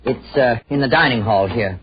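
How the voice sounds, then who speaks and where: An actor playing a polite, well-bred lord of the manor in 1940s radio style, courteous and a little hesitant. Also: male voice, mid-range voice, moderate pace, natural voice. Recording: noisy, muffled 1940s radio recording.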